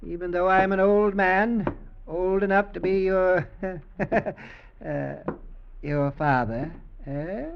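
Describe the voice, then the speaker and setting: An actor playing a menacing old executioner and possessive husband, speaking in pointed, mock-affectionate questions in vintage radio drama style. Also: male voice, high-pitched, fairly even intonation, slow, creaky, noisy background, exaggerated voice. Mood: sly false sweetness. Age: older. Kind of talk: 1940s-50s American radio drama acting. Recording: dull vintage radio recording.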